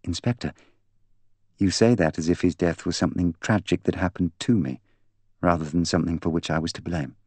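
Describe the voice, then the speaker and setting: A man's voice: deep voice, brisk, darkish tone, dry recording. A man voicing a proud, bitter young woman in an audiobook, candid, articulate and intense. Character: proud, bitter young woman confessing to killing her fiancé. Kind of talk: audiobook character dialogue.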